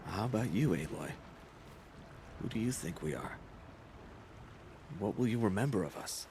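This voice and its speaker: Solemn, low-voiced outcast hunter. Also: male voice, animated, noisy background. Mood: pensive. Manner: measured, earnest, questioning. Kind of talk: video game cutscene acting.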